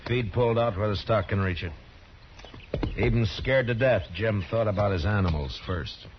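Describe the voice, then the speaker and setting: An American man playing a stern, steady frontier marshal in an old radio western, terse, commanding and deliberate. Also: lowish voice, close to an everyday voice. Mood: thoughtful. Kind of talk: 1950s radio western acting. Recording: muffled, noisy old radio broadcast.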